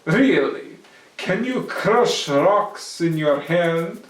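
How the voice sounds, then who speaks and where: A storyteller playing a calm, bold man who slyly outwits goblins in a children's tale. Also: medium pitch, drawn-out words, voice pushed up. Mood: challenging. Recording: echoey room, distant mic.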